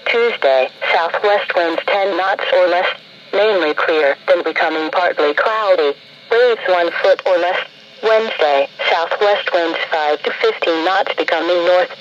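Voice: mid-range voice, measured pace. Muffled synthetic radio announcer. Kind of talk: scripted marine forecast reading. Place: played through a handheld radio indoors. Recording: muffled, noisy weather radio broadcast.

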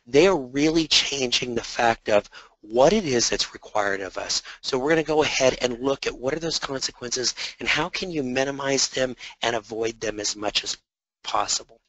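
A man presenting a compliance webinar in a conversational way, slightly hoarse from a sore throat, with many fillers and repeated words. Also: medium pitch, animated, normal speed, a bit bright, no echo, poor audio.

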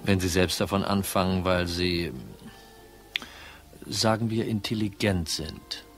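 An actor playing a cool, dogged insurance detective in a radio crime drama, dry, terse and probing. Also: male voice, low voice, fairly even intonation, unhurried, faint music behind. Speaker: lead actor and first-person narrator. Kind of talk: radio crime drama acting, noir narration.

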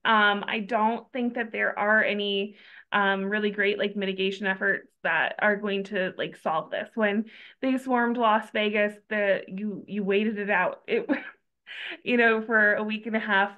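A young woman guest lecturer answers questions in a relaxed, hedging way, full of likes and ums. The video-call sound is a bit dull and muffled, and her pitch sits mid-range.

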